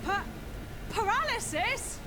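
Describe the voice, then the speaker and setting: A woman's voice: very high voice, lively intonation, slow pace, background noise, full character voice. A woman playing a blunt, earthy commoner in a video game, an indignant member of a mob. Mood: worried. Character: angry, coarse commoner woman in a vengeful mob.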